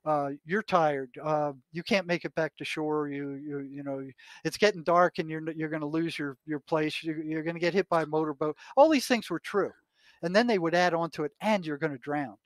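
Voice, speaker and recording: An older American man in conversation, telling anecdotes and reading aloud from notes in a halting way, often repeating his words. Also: a little high, dry recording. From United States. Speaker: retired psychotherapist, podcast guest.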